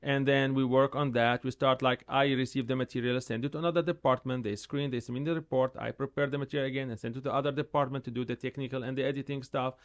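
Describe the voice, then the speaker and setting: A man in his thirties, a real estate agent whose first language is Arabic, telling his story in a casual interview in halting, repetitive English that livens up when he tells a story. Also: medium pitch. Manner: halting, repeats words, animated when telling stories.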